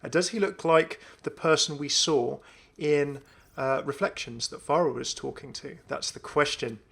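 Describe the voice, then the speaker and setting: A man hosting a gaming video with casual, enthusiastic commentary in a creaky voice. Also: a little high, normal speed, a bit bright. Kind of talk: casual commentary on a game update.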